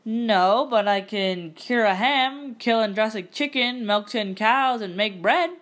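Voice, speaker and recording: Woman playing a young farm woman, storybook character, dreamy, plain-spoken, earnest, higher-pitched. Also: slow pace, a bit bright. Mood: proud, amused.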